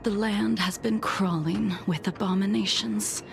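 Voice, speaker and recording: An actress voicing a calm, provocative swordswoman in a video game, measured and haughty. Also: medium pitch, creaky, soft background music. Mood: cool, sardonic. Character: cool, teasing swordswoman, calm and quietly provocative. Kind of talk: scripted game voice acting.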